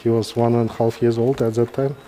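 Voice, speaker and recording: A middle-aged man with a Kazakh accent gives informal live commentary as a surgeon, halting and pausing mid-sentence. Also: mid-range voice, level tone, warm tone, slight background noise. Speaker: neurosurgeon presenting a live case.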